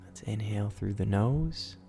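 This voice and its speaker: Male meditation guide, low voice with some gravel, soothing and gently instructive, music underneath. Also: animated, a little slow.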